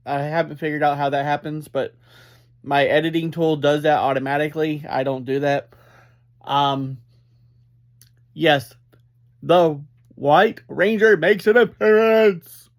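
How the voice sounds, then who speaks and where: Slow, halting man reviewing casually. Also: on the high side. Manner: halting, easily sidetracked, emphatic; upbeat, energetic. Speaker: podcast reviewer and host. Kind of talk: casual rambling episode review.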